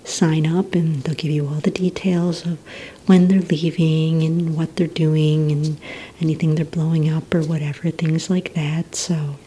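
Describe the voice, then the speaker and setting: A woman speaks low and very mellow in a soft, breathy half-whisper. She plays a courteous, organized receptionist in an ASMR roleplay, gently and politely walking the listener along. A faint rumbling noise sits behind her voice. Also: little pitch movement, poor audio. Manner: gentle, polite, unhurried customer-service patter. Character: courteous, organized female villain-agency receptionist. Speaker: ASMR roleplay performer as a villain recruitment receptionist.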